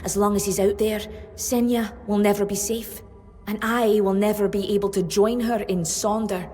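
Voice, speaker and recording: An actress playing a solemn, devoted tribeswoman in a fantasy video game, speaking gravely and earnestly with longing. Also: mid-range voice, fairly even intonation, mellow, natural voice. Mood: grim, determined. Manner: grave, earnest, measured delivery. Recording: long reverb with music behind.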